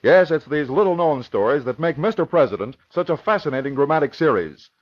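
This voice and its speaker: Lively American radio promo announcer. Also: male voice, fairly high pitch, brisk. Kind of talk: scripted old-time radio promotion. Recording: dull old radio recording.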